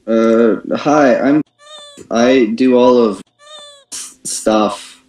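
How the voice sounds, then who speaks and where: A man's voice: mid-range voice, drawn-out words, a little mellow, echoey room, rough recording. A man in casual livestream chat over an internet call, hesitant and full of puzzled questions. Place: online voice call.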